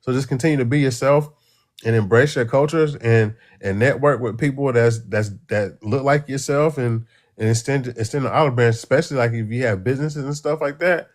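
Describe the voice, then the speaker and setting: A man with a mid-range voice gives his view on a live call. He speaks earnestly but haltingly, circling back and restarting his phrases. The tone stays casual and conversational. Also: medium pitch. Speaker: caller.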